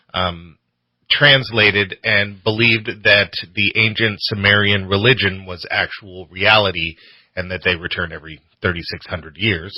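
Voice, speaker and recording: A man with a very bright, sharp-edged voice that sits fairly low and has some huskiness. He answers as a radio guest in loose, halting, casual talk, close on a studio microphone. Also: no echo, poor audio. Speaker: radio show host appearing as guest. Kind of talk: casual chat, interview answers.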